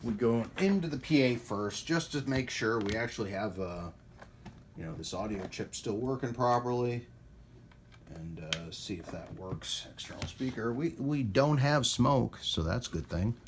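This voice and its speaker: A man's voice: mid-range voice, expressive, moderate pace. A man talking casually in a hands-on tech repair vlog, rambling and hesitant as he thinks aloud. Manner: rambling, hesitant, talks to himself while working. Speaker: host of a radio repair video. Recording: clear background noise around the voice.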